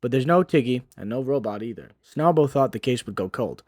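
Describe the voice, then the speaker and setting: A man's voice: medium pitch, expressive, brisk, a little mellow, dry recording, clean studio sound. A man hosting a video, reading scripted recap commentary. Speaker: video host.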